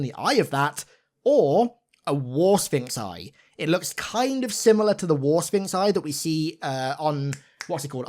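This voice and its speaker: A young man giving an informal, enthusiastic video game breakdown with an emphatic, gushing manner. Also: fairly high pitch. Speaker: video game content creator.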